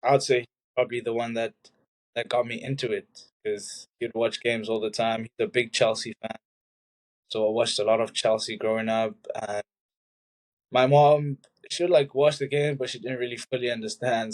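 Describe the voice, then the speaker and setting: A man's voice: medium pitch, moderate pace, low-quality recording. A young man from South Africa, a college soccer player talking casually as an interview guest, loose and easygoing with lots of filler words. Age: young adult. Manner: relaxed, loose, many "like" fillers.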